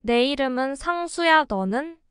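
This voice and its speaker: A woman reading quick short prompts before each phrase in a language lesson. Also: high voice, expressive, fairly bright tone, no room sound, clean studio sound. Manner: crisp, quick prompt delivery. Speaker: phrase reader giving Korean prompts.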